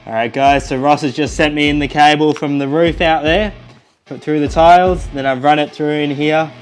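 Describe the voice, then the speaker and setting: A man's voice: fairly high pitch, steady tone, normal speed, smooth. A young man, an electrician, giving a casual and easygoing explanation of a job to camera. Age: young adult. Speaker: electrician presenting an installation. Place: indoors in a house. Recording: faint music behind the voice.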